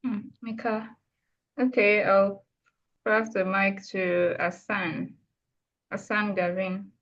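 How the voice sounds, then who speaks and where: A woman moderating a video call, briskly passing the mic to speakers. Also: medium pitch, little pitch movement, fairly bright tone. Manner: brisk, organizing turns. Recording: muffled video call audio.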